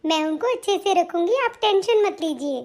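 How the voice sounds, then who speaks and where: Woman playing a respectful young cartoon wife, extremely high voice, sweet, polite, reassuring. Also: expressive, moderate pace, a little mellow. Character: sweet, respectful, eager-to-please young daughter-in-law. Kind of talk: Hindi family cartoon story dialogue.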